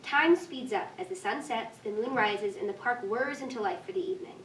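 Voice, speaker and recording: A woman's voice: a little high, a little fast. A woman reading stage directions as scripted narration at a live staged reading. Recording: echoey room, distant mic, faint noise.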